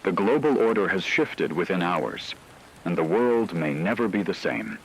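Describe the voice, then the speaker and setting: A man playing a solemn radio anchor, reading a scripted emergency war bulletin in a measured, formal way. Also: sits low, animated, vocal fry, slight background noise, poor audio. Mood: grave, urgent. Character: grave male radio anchor reporting a world war. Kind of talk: scripted emergency news broadcast.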